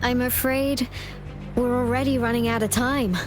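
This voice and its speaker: High-voiced, dutiful female field director played by a woman. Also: steady tone, normal speed, lightly acted. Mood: worried. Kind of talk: serious video game cutscene acting. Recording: dramatic music behind the voice.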